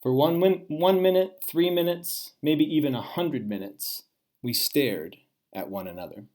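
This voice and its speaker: A man, a teacher, reading a children's storybook aloud in an expressive way with dramatic pauses. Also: fairly high pitch.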